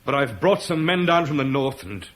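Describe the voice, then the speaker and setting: Brief: weary middle-aged Roman officer, played by a man, dry and measured, lively inflection, slight hiss on an old radio recording. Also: medium pitch, lightly acted. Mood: restrained. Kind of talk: 1960s BBC radio drama acting.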